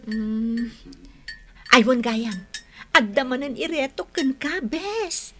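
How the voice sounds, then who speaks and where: Bubbly, high-pitched teasing best friend. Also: female voice, exaggerated voice. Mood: playful, teasing. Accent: Ilocano, northern Philippines. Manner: animated, teasing, drawn-out words. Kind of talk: radio drama acting.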